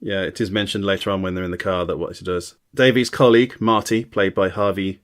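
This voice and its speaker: A man's voice: low-pitched, fairly even intonation, fairly quick. A man hosting a podcast, recapping a film scene by scene with dry, wry asides. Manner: dry, wry asides between plot summary.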